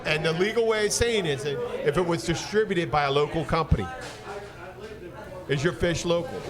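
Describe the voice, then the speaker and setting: A man chatting casually as a podcast host, telling stories in an animated, rambling way. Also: on the high side, brisk. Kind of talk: casual bar-side chat. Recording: loud crowd noise, outdoor urban setting. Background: loud crowd and outdoor bustle.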